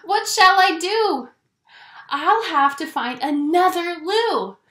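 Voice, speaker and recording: A woman's voice: very high voice, expressive, slow pace, pushed and bright, voice pushed up, heavily acted. A woman voicing a desperate, panicked little male creature from a children's picture book, pleading and exclaiming dramatically. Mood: panicked wailing. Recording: echoey room.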